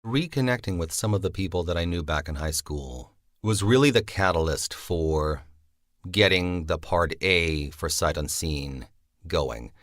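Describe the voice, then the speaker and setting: Deep-voiced writer man, dry sound. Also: lively intonation, measured pace, fairly bright tone, no room sound, faint music behind. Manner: reflective, thinking aloud. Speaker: series co-writer, guest. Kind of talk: casual interview.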